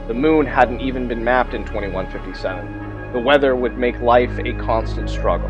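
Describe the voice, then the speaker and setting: Male game master, medium-pitched, measured storyteller read of tabletop game material with commentary, clear music bed underneath. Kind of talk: reading aloud scripted game text with commentary. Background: music behind the voice.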